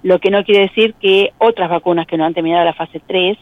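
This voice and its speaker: A woman with a provincial Buenos Aires accent explaining things as an expert interviewee, in measured, hesitant phrasing. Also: fairly low pitch, fairly even intonation, no room sound, phone-quality sound. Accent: provincial Buenos Aires Argentine. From Argentina.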